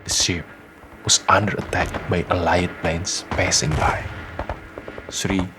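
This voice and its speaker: A man narrating a scripted radio drama in measured, dramatic short phrases. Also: low-pitched, level tone, background noise.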